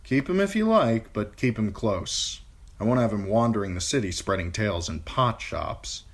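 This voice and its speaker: A shrewd, sardonic lord in an audiobook, played by a man. His low, husky voice stays dry and commanding while moving with a lively lilt. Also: normal speed. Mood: cold, sardonic.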